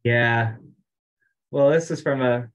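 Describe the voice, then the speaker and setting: A man talking casually as an organizer on an online group call, halting and repeating himself as he goes. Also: medium pitch. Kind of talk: casual meeting chat. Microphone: online conference call.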